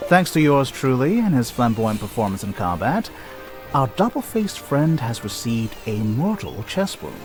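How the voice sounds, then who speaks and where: A man performs a boastful, flamboyant male game hero with a hugely animated delivery. He is theatrical and pleased with himself, in a middle register, with music playing underneath. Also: medium pitch, moderate pace, lightly acted. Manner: theatrical, teasing, self-satisfied. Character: flamboyant, boastful young male adventurer and smith. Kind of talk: video game cutscene acting. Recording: music behind the voice.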